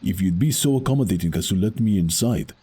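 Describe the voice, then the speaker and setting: An actor playing a noble masked champion wrestler in an audio drama, grave, stern and formal. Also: male voice, lowish voice, lively intonation, quick pace, dark tone, breathy edge. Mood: measured.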